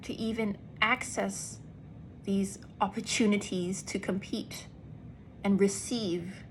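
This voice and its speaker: A woman actor talks slowly and earnestly in a personal vlog, pausing between phrases for emphasis. Her voice sits at a middle pitch. Faint background noise runs under her speech. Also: steady tone, rough recording. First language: English. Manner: earnest, deliberate, with emphatic pauses. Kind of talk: reflective personal vlog monologue.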